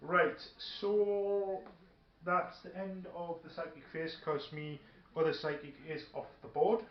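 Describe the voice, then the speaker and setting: A man chatting casually at a game table, talking through rules haltingly and thinking aloud. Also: a little high, little pitch movement. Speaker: tabletop wargame player. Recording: muffled, echoey room with faint noise.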